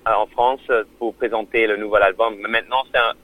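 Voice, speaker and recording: A man, a musician guest on a radio interview, speaking haltingly, with many fillers and restarts. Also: lowish voice, fairly even intonation, fairly bright tone, rough recording. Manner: non-native French.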